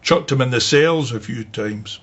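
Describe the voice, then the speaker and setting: Radio drama: plain-spoken Scottish colleague, raspy mid-range voice, brisk dismissiveness. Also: male voice, medium pitch, fairly bright tone. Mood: matter-of-fact. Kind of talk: naturalistic radio drama acting. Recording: dull, muffled sound.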